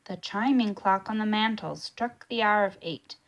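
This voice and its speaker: A woman reading a children's storybook aloud in a deliberate, expressive way. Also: medium pitch, muffled recording. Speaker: storybook reader.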